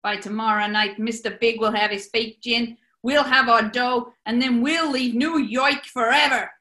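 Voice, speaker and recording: A woman's voice: on the high side, fairly even intonation, moderate pace, pushed and bright. A woman playing a brash, scheming male gangster boss with an American accent in a 1940s-style radio play, wisecracking and bossy. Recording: roomy echo.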